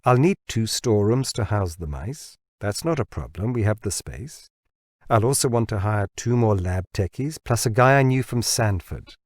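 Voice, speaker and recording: Guy playing a clever scientist selling his idea, insistent, lowish voice, audiobook, dry sound. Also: lively intonation, no room sound, lightly acted. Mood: confident, insistent. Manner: deliberate, insistent salesmanship. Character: shrewd, persuasive male biotech researcher pitching his boss. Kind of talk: audiobook character dialogue.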